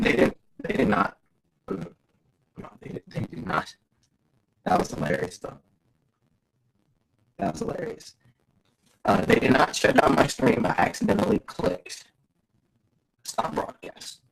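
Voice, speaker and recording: A man's voice: mid-range voice, gravelly, a bit bright, poor audio. A man hosting a casual livestream, who laughs often, repeats himself and answers his chat. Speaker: livestream host.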